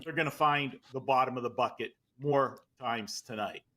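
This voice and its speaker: A man's voice: medium pitch, moderate pace, sharp tone. A man from Pittsburgh with a Pittsburgh accent, a guest handicapper giving confident, matter-of-fact betting analysis.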